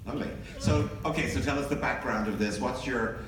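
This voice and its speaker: A man's voice: mid-range voice, brisk. A middle-aged man moderating a film Q&A on stage, chatty and enthusiastic. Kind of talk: live Q&A moderating. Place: large cinema stage. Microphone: handheld mic heard from the audience. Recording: echoey theater recorded from far, with audience noise.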